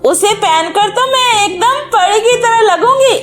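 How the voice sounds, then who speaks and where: Woman voicing a very high-pitched snobbish rich schoolgirl. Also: lively intonation, a little slow, over loud music. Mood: boastful. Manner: gloating, taunting.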